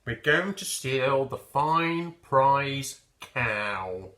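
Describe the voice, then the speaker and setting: Casting: cunning storybook thief, acted by a man, slow and plotting, with very varied pitch. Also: expressive, bright, forceful tone, roomy sound, heavily acted. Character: scheming male thief plotting to steal a cow. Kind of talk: slow, dramatic children's storybook reading.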